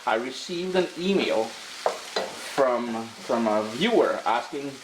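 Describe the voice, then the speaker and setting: A man's voice: medium pitch, lively intonation, slow, noisy background. A middle-aged man with a Caribbean accent hosting a casual cooking video in his kitchen. His nose is stuffy from allergies, and his talk is chatty and slangy. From Caribbean island. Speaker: cooking show host. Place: home kitchen.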